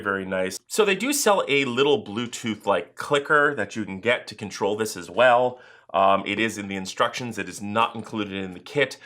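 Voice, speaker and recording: A man with a very deep voice reviewing gear in a casual vlog, talking in a relaxed way with many uhs. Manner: relaxed, filler-heavy talk with many uhs.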